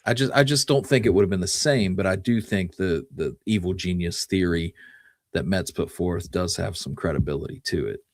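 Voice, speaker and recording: A man co-hosting a casual history discussion, thinking aloud in a halting way as he goes. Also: deep voice, darkish tone, dry recording, clean studio sound. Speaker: podcast co-host.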